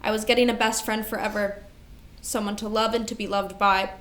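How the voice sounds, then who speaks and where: A woman's voice: medium pitch, flat delivery, a little fast, fairly bright tone, slight background noise, close to an everyday voice. A woman in a staged play reading, playing a devoted older sister burdened by grief and responsibility, speaking earnestly and emotionally. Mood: grieving. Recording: echoey room recording.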